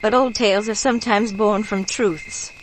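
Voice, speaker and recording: A somber bayou local played by a woman speaks in a grave, folksy, cautionary way, as in a horror radio play. Her pitch is middling, and crickets and frogs sound clearly around her in the night. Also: fairly even intonation, normal speed, close to an everyday voice, low-quality recording. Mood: grave warning. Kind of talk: horror radio drama acting. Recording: clear background noise of night insects.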